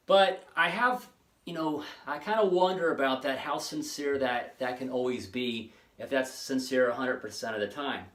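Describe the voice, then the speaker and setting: A man's voice: mid-range voice, normal speed, fairly bright tone. Man in his forties, echoey room. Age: 40s. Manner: rambling, many fillers and restarts. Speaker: fitness channel host. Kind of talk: casual monologue to camera.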